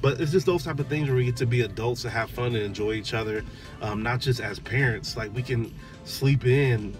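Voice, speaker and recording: A man in his thirties talking casually in a parked car, relaxed and chatty with lots of "um"s, recorded on a dashboard camera. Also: medium pitch, brisk, music in the background. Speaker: vlogger, father. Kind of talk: casual vlog chat.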